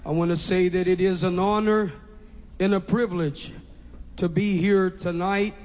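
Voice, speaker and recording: A man preaching a fervent Pentecostal sermon in careful English, his first language being Portuguese, often rising to shouts. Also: high-pitched, fairly even intonation. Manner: halting, non-native English phrasing. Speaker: pastor. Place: large church hall. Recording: reverberant hall, faint music behind.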